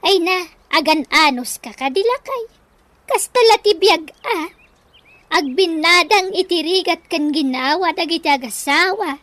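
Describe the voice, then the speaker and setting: A woman's voice: very high-pitched, lively intonation, unhurried, a bit bright, no room sound, exaggerated voice. A woman with a Filipino accent playing a pleading, scheming wife and mother in debt, whining and wheedling in a comedy radio drama.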